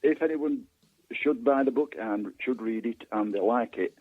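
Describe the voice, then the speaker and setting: A man being interviewed as a guest author and historian, speaking casually and haltingly, often repeating words mid-sentence. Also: mid-range voice. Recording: muffled, narrow phone-line sound with echo.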